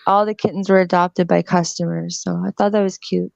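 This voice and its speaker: A woman's voice: on the low side, fairly even intonation, brisk, gravelly, a little mellow, no room sound. A woman in her thirties chatting casually and laughing often on a group call, through a slightly dull lo-fi mic. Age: 30s. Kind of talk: casual group video chat.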